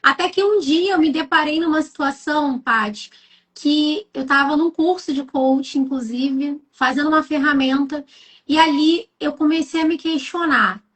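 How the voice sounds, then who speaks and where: A woman's voice: high voice, normal speed. A woman from Rio de Janeiro with a Carioca accent, a guest who tells her own story in an earnest, reflective way. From Rio de Janeiro, Brazil. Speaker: guest speaker. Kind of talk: personal storytelling in conversation.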